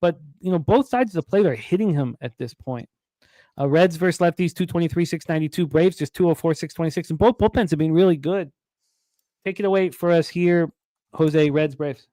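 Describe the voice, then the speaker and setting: A man hosting a sports betting talk show, running through odds, weather and pitcher stats with plenty of fillers. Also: a little fast, dry recording. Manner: reads out odds and stats in a steady run, with fillers.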